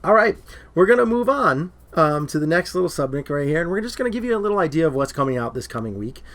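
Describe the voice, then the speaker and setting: A man's voice: fairly high pitch, big pitch swings, fast talker, fairly bright tone. A man co-hosting a casual movie talk show, laughing often and filling with "um", whose voice is starting to go. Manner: laughs often, lots of fillers. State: losing his voice. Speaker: podcast co-host.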